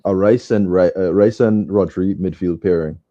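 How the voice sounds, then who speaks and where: A man co-hosting a live football watch-along, chatting casually and jokingly with halting repeats. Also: deep voice, fairly even intonation, warm tone, no echo. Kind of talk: casual chat. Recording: dull lo-fi mic.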